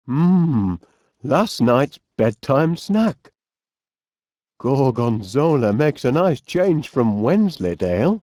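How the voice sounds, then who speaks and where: An actor playing a cheerful, eccentric middle-aged English inventor with a Northern English accent, chummy and old-fashioned in his phrasing, in an animated video game. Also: mid-range voice, slow, dry recording, clean studio sound. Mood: musing.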